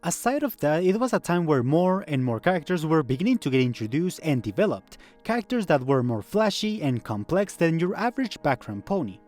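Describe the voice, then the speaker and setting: Higher-pitched man narrating with lively intonation. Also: brisk, few pauses. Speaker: video essay narrator. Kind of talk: scripted narration. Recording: background music under voice.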